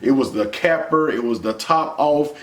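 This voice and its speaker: An American man in his thirties talks like a vlog co-host in an echoey room. His pitch is average and his delivery is casual and colloquial, with short emphatic phrases. He sounds a few feet from the microphone. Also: normal speed, fairly bright tone. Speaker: vlog co-host, movie reviewer. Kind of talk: casual conversational review. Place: small indoor room with bare wall.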